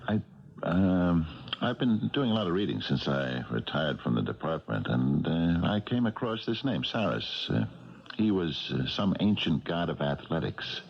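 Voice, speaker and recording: An actor playing an earnest, halting retired policeman in a 1970s radio drama. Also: low voice, big pitch swings, gravelly, full character voice. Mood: earnest, hesitant. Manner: halting, deliberate, understated. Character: retired policeman, earnest, hesitant, worried and kindly. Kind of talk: 1970s radio drama acting with first-person narration. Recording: muffled old radio broadcast, music behind.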